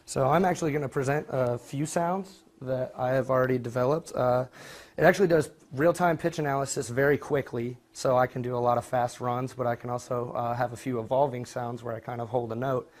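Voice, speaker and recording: A man's voice: mid-range voice, fairly even intonation, brisk. A young man giving an informal student project presentation, speaking a little hesitantly and correcting himself as he goes. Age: young adult.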